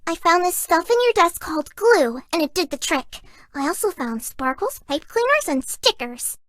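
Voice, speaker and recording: A woman voicing a shy, sweet, excitable young female fox spirit for a video game, with a cutesy girlish voice. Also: extremely high pitch, normal speed, no room sound, heavily acted. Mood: sweet, chatty. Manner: bashful, earnest, playful. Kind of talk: video game character voice lines.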